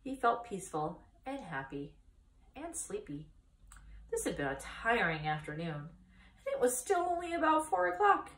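A woman in her thirties reading a children's book aloud as expressive storytime narration. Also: mid-range voice, normal speed. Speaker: storytime reader. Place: small indoor room, seated by a wall. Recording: echoey room, distant microphone.

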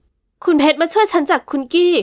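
A woman voicing a frightened young woman who pleads slowly and timidly, in a storybook reading. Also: close to an everyday voice. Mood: pleading. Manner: pleading, trembling, slow and high.

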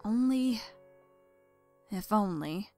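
A woman's voice: medium pitch, lively intonation, very slow, darkish tone, soft background music. A woman reading scripted story narration expressively as the narrator.

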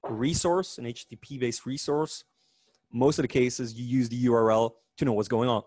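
Dutch-accented man giving a lively tech talk. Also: mid-range voice, moderate pace, breathy edge, no echo. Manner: lively, joking, self-correcting restarts. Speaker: conference speaker. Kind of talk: technical meetup talk. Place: meetup room.

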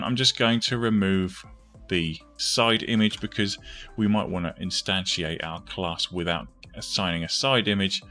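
A man's voice: deep voice, pushed and bright. A man hosting a step-by-step coding tutorial, informally thinking aloud as he goes. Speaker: tutorial host.

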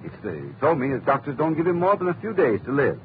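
A man's voice: fairly high pitch, sing-song, fast, slight background noise. A man playing a genial, worried middle-aged clubman, in 1940s radio acting style, talking chattily with nervous laughs. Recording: tinny lo-fi old radio recording.

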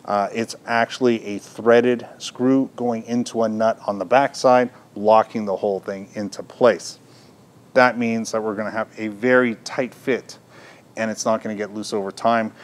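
Middle-aged man demonstrating a product. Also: on the low side. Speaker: product reviewer, presenter. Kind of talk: unscripted product demonstration talk. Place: indoor audio gear shop, at a counter.

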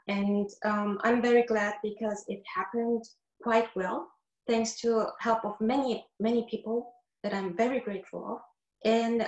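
A middle-aged woman from Korea, a flutist talking on a panel over a video call in an earnest way, searching for words between many fillers. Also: medium pitch. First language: not English. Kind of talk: casual panel talk. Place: wood-panelled room indoors. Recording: echoey room on a video call.

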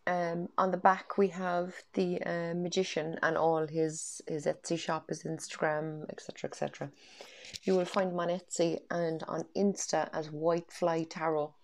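A woman in her thirties with an Irish accent talks eagerly to camera from her bedroom, her voice a little low and stuffy from a cold. She rambles happily with frequent ums, recorded on a wired earphone mic. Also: gravelly. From Ireland. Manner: chatty and enthusiastic, lots of ums. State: congested from a cold. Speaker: tarot deck reviewer. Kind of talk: casual vlog chat. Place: bedroom at home, indoors.

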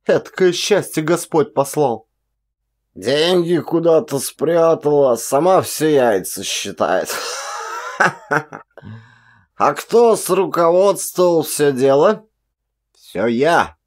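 A hoarse old-time soldier deep in drink , played by a male narrator. He drawls and slurs his words, boastful and full of scorn, in a storybook style. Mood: gloating, tipsy.

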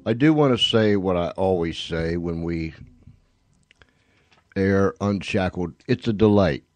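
Slow, deep-voiced male radio host. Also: a little mellow. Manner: deliberate, phrases broken by pauses. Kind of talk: show sign-off announcements.

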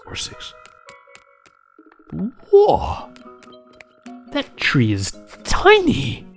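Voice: mid-range voice, huge pitch swings, very slow. A video game commentator giving casual gameplay commentary in a playful, exclamatory way, with rambling asides. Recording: game music behind voice. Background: video game music.

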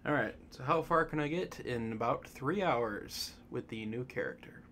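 A man's voice: animated, fairly bright tone, slight background noise. A man giving casual, sparse commentary on a game he is playing, with a slight cough. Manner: casual, slow and low-key. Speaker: gameplay commentator. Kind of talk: sparse let's-play commentary.

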